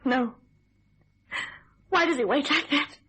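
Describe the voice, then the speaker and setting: An actress playing a frightened, hysterical wife in a radio thriller, fraught and pleading. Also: female voice, high-pitched, slow, a bit bright.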